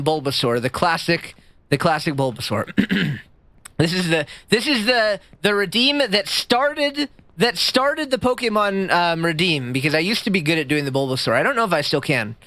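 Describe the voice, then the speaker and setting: A man streaming live with a squeaky voice, chatting with his chat in a rambling, jokey way that jumps up high when he gets excited. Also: no room sound. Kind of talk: casual livestream chat reading chat. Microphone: streaming mic.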